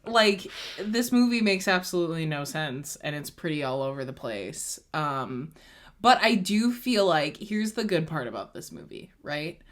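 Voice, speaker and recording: A young woman with a slightly low voice talks in a casual co-host chat. She rambles and gushes, filling her sentences with "like" as her thoughts spill out. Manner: gushing, rambling, heavy use of "like".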